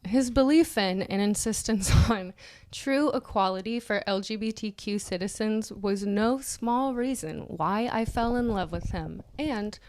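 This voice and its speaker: A woman's voice: medium pitch, measured pace, a little mellow. A woman co-hosting a comedy podcast, reading an article aloud mock-dramatically with joking asides and often breaking into giggles. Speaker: podcast co-host.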